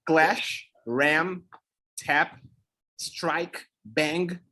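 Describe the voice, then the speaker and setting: Brazilian man, slightly high voice. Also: drawn-out words, pushed and bright, a little hiss or hum. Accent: Brazilian Portuguese. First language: Brazilian Portuguese. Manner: thinks aloud, searches for words mid-sentence. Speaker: co-host teaching expressions. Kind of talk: casual chat.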